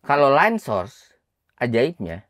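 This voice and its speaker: A man's voice: medium pitch, big pitch swings, slow pace, fairly bright tone, dry recording, studio quality. A man explaining technical material informally, repeating phrases for emphasis and quizzing his listener as he goes. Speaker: audio engineering teacher.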